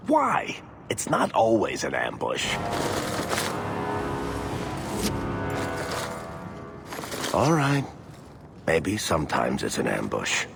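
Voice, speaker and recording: A man's voice: a bass voice, huge pitch swings, a little slow, creaky, noisy background, exaggerated voice. A man voicing a wry, talkative storyteller rogue in a fantasy video game, sardonic and theatrical. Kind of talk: video game character dialogue.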